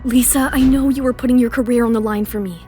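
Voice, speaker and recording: A woman voicing a wronged young model, outwardly sweet and quietly defiant, in an audio drama. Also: a little high, fairly even intonation, quick pace, lightly acted. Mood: confiding. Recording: music behind the voice.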